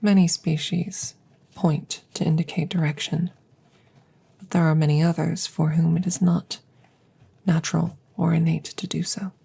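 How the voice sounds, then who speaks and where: A woman voicing a calm, curious plant-like alien scientist in an ASMR audio roleplay, whispering softly and close with precise, formal, detached phrasing. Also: low-pitched, moderate pace, full character voice. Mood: clinical, calm. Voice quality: soft, breathy whispering. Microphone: close microphone.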